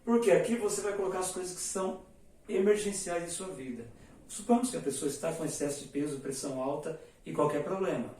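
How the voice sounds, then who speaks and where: A man's voice: on the high side, quick pace, a little mellow. A man teaching a lesson to camera, explaining step by step and writing on a board as he talks. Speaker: English teacher. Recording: very echoey room, voice from a distance.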